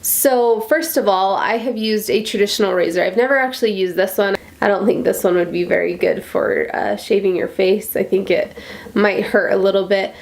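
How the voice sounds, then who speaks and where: A woman's voice: medium pitch, moderate pace, creaky. An American woman with a stuffy, nasal voice from a cold, chatting casually and in a friendly, rambling way as she gives a beauty tutorial. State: congested from a cold. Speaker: beauty vlogger.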